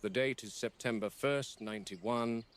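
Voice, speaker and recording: A man acting as a measured, serious male game warden records a formal log for a found-footage horror film. His voice is medium in pitch, and he explains procedures for dangerous animals with deliberate, objective care. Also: lightly acted. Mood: measured, grave.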